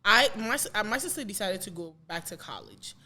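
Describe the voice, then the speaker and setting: A woman's voice: mid-range voice, animated, bright, forceful tone. Woman, late 20s, chatty and open, keeps restarting her thoughts. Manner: candid, talks in quick bursts with restarts. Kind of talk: casual discussion.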